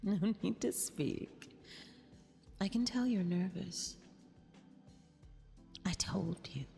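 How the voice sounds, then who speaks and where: A woman's voice: medium pitch, lively intonation, slow, creaky, warm tone, big-room sound, faint music behind. A woman playing a vain, cruel but affectionate eldritch empress in an intimate, half-whispered ASMR roleplay, haughty and teasing. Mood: soothing, possessive.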